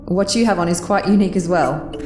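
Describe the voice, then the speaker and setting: A woman's voice: lowish voice, fast, a little mellow, lightly acted. A woman playing a confident young female time traveller with composed, formal phrasing in an audio drama. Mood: assured. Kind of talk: fan audio drama acting. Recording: music underneath.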